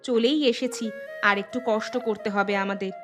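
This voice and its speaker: A woman's voice: mid-range voice, fairly even intonation, moderate pace, over music, lightly acted. A woman playing a kindly village woman in a cartoon fairy tale. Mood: soothing, tired. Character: kindly village woman walking with her family.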